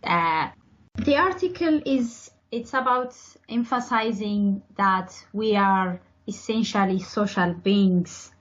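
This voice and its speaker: A young woman from Ethiopia speaks very slowly and deliberately as a podcast guest, pausing on fillers and repeating words while she works through her ideas. Her voice comes through slightly dull, lo-fi audio. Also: medium pitch, animated. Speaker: podcast guest, PhD student. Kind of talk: interview answers.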